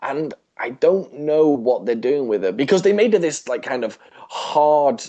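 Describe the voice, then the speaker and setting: A man co-hosting a podcast in casual discussion, talking in a hesitant, halting way and rephrasing as he goes. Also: mid-range voice, animated, warm tone, lo-fi sound. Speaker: podcast co-host.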